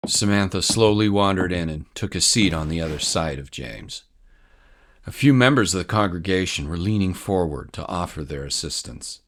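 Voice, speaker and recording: A man's voice: deep voice, moderate pace, fairly bright tone. A man narrating scripted dramatic fiction as a storyteller. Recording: music bed under the voice. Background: music.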